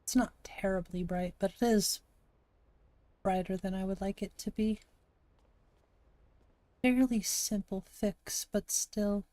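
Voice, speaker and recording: A woman from Montana with a gravelly voice, chatting casually to her live-stream audience while she plays a game, thinking aloud and answering chat. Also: medium pitch, steady tone, slow, long pauses, warm tone, dry recording. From Montana, USA. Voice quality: gravelly, slightly raspy.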